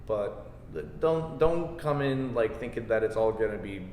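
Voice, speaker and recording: A man's voice: medium pitch, lively intonation, unhurried, a little mellow, a little hiss or hum. A man giving casual interview answers as the director of a play. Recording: reverberant echoey hall, faint music.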